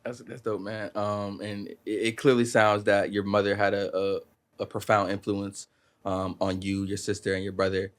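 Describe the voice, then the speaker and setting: Young man, podcast co-host, low voice, monotone, measured earnest questions. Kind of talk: casual podcast conversation.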